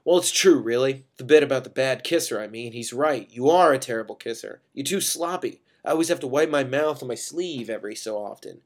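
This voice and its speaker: A tactless young boyfriend from a short story , voiced by a male reader. He is needling and defensive, rambling on with blunt sarcasm in an average-pitched voice. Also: expressive, brisk, few pauses. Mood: blunt, sardonic.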